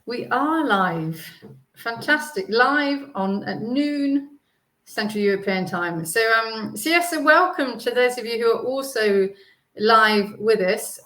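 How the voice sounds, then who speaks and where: A woman's voice: on the high side, expressive, a bit bright. Lady in her 60s hosting a work webinar on a video call, thoughtful, lots of ums, echoey room. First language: English. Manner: measured, thoughtful, with frequent ums. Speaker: co-CEO and host. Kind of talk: webinar conversation.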